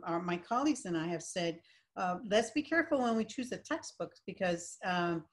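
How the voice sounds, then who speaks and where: A woman teacher on a video call panel, explaining and asking rhetorical questions. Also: mid-range voice.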